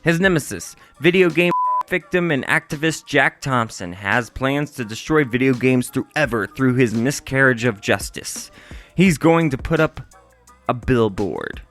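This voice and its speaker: A man's voice: mid-range voice, animated, a little slow, a bit bright, faint music behind. A man narrating a scripted review as the reviewer.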